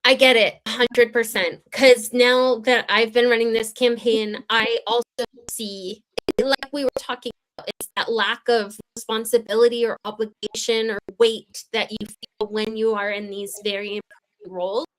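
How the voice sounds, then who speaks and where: Brief: young woman, girlish high-ish voice, earnest campaign guest who chats and laughs easily. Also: little pitch movement, normal speed, a bit bright, low-quality recording. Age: young adult. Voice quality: very young-sounding voice. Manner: earnest, chatty, laughs between phrases. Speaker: congressional candidate, guest. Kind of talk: livestream interview talk.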